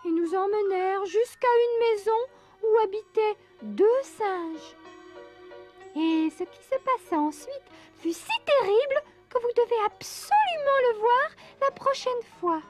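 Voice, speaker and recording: A woman's voice: very high-pitched, lively intonation, unhurried, soft background music. A woman voicing a small friendly companion who narrates a children's cartoon in an articulate storytelling manner. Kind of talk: French-dubbed cartoon narration.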